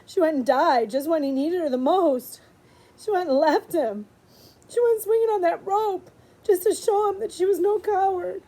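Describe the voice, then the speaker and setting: A woman reading a storybook aloud in an expressive, dramatic way with drawn-out pauses. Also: very high voice, low-quality recording. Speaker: audiobook reader, librarian.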